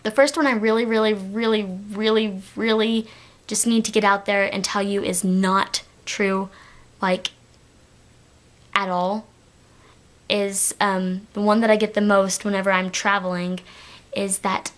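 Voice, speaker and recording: A teenage girl with a light Texan accent talks straight to her camera in a loose, rambling way. Her voice sits at an ordinary middle pitch, and she fills her sentences with ums and quick restarts. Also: fairly even intonation. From Texas, United States. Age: teens. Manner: chatty, rambling, many fillers and restarts. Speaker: vlogger. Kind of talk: casual talk to camera.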